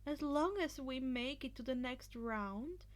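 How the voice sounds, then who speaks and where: A woman's voice: high voice, lively intonation, measured pace. A woman voicing a blunt, scoffing, indifferent teenage boy in an audiobook of fan fiction. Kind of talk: acted fan fiction dialogue.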